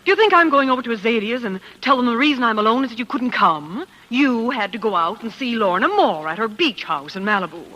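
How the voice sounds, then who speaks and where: An actress playing a jealous, vain, dramatic wife in a theatrical, self-pitying 1940s radio style. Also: female voice, fairly high pitch, expressive, quick pace, hardly any pauses, pushed and bright, lo-fi sound. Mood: jealous, bitter. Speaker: lead actress and first-person narrator. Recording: old 1940s radio recording with music.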